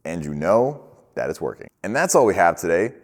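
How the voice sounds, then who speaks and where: A man with a fairly low voice presents a casual crash course. He talks in an informal, conversational manner, walking the listener through each step as an instructor would. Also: very animated, brisk. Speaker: instructor for a film gear rental company.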